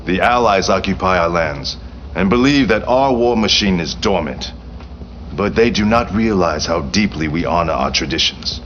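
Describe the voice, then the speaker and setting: A man's voice: deep voice, animated, normal speed, fairly bright tone, dull audio, slight background noise. A man acting a proud, vengeful Japanese prince in a game cutscene, speaking formally and solemnly with grandiose command. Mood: solemn, urgent.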